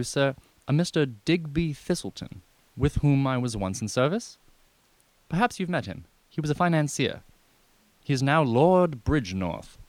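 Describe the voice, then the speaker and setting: A man's voice: mid-range voice, a little mellow, no echo, natural voice. A man playing a deferential, unflappable valet who speaks formally and at length in a comic radio drama. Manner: formal, measured, circumlocutory.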